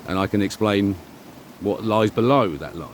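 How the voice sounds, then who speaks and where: A middle-aged man giving a rambling, informal monologue, speaking emphatically and self-assuredly in halting, repeated phrases. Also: on the low side, slight background noise. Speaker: vlogger.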